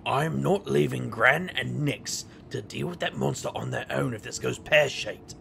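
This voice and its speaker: A man playing a wry, reckless street magician who narrates his own tale in an audio drama, in a gravelly voice. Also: mid-range voice, measured pace, pushed and bright, a little hiss or hum, full character voice. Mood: resolute. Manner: wry, sardonic. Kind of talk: first-person audio drama narration and dialogue.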